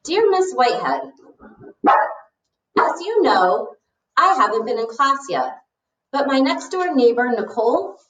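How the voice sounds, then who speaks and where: A woman's voice: sits high, very animated, unhurried, long pauses, fairly bright tone, voice pushed up, full character voice. Boastful seventh-grade boy played by a woman, frank and informal storybook letter, echoey and off-mic. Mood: boastful, chatty. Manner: frank, self-assured, informal. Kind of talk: storybook read-aloud of a letter. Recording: roomy, echoey, distant mic.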